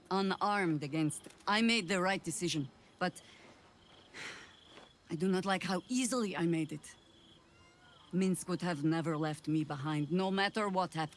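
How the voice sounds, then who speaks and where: An actress playing a weary, proud older woman adventurer in a video game, speaking deliberately, with wry and formal phrasing. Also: lowish voice, slight background noise, lightly acted. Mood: guilty, exasperated. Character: weary, proud older female veteran adventurer, guilt-ridden and stubborn. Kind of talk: dramatic video game dialogue.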